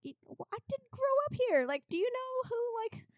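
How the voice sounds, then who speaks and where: A woman's voice: extremely high pitch, fairly quick, no room sound, studio quality. A young woman from China, chatting casually as an interview guest and acting out bits of dialogue as she tells stories. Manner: animated, acts out reported dialogue. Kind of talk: casual conversational storytelling.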